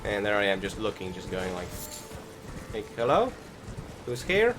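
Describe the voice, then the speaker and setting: A man's voice: fairly low pitch, wildly swooping pitch. Man rambling through casual gameplay commentary. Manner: rambling, halting, self-correcting. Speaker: gamer giving commentary. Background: game music.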